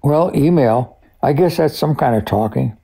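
Man, very gravelly, husky, dark tone, scripted conversational history narration, collector expert. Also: medium pitch, lively intonation, moderate pace. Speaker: radio collector and expert guest.

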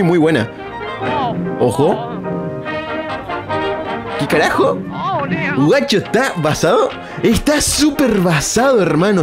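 Argentine male streamer, casual reactor, slightly high and very animated, repetitive slangy exclamations, music underneath. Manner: slangy, exclamatory, repeats phrases. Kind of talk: casual live reaction commentary. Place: indoor room at streaming desk. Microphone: close desk microphone on boom arm. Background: cartoon music.

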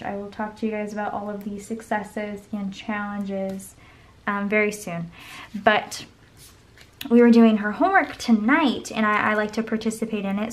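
A young woman with a voice going hoarse chats casually while she decorates her planner at a desk at home. She rambles and counts under her breath as she works. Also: medium pitch. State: losing her voice. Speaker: planner vlogger. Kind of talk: casual plan-with-me chat. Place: home desk, camera overhead.

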